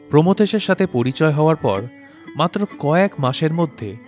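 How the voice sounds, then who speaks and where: Male story narrator over plucked-string music. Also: medium pitch. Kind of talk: scripted literary story narration. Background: plucked-string instrumental music.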